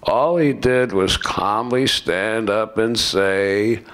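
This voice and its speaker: A man in his seventies reading scripted narration aloud in a deliberate way, stumbling now and then, at a microphone in a lecture room. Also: fairly low pitch, fairly bright tone. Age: 70s. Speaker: narrator in a staged radio play by retired students. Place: lecture room with seated audience. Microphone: microphone on stand at lectern.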